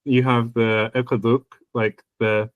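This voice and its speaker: A man's voice: mid-range voice, little pitch movement, a little slow, clean tone, no echo. A man co-hosting a podcast, chatting casually about language, rambling with many restarts and laughing at himself. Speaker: podcast co-host.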